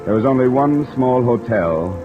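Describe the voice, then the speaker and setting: An actor playing a cool, wry American investigator in a 1950s radio detective drama, speaking in a dry, clipped, understated way. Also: male voice, medium pitch, lively intonation, normal speed, over music, close to an everyday voice. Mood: matter-of-fact narrating. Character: cool, wry freelance insurance investigator, a man. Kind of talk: 1950s American radio detective acting and first-person narration. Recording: muffled vintage radio recording, rain behind.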